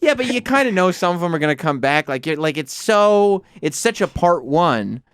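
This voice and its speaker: A man's voice: on the high side, big pitch swings, normal speed, fairly bright tone, clear, resonant tone, no echo. A man hosting a casual chat, asking teasing questions and laughing often.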